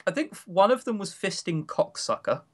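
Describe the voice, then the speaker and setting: A man's voice: high voice, big pitch swings, normal speed. A man chatting casually as a podcast co-host, giving opinions with wry, coarse humour. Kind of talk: casual chat reviewing games.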